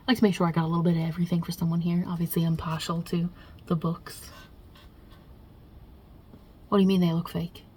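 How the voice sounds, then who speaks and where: Girl doing a creaky low-voiced italian new york mob boss god for asmr roleplay, blunt n chummy, rambles, bit of hiss. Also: natural voice. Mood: chatty, then testy. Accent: put-on Italian-New York. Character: non-binary God as brash, short-tempered Italian mob boss. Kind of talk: ASMR roleplay interview, improvised.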